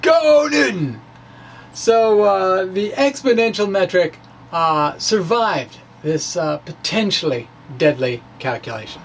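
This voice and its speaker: A man's voice: sits high, expressive, slow, slight background noise. A man informally presenting his own science blog, wry and playful, often hesitating mid-phrase. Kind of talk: dramatic reading of a physics blog, informal explaining.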